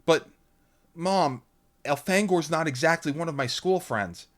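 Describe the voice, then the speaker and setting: A man narrating an audiobook voices a frightened teenage girl, pleading and yelling. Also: on the high side, measured pace, pitched above the performer's own voice, close to an everyday voice. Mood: worried.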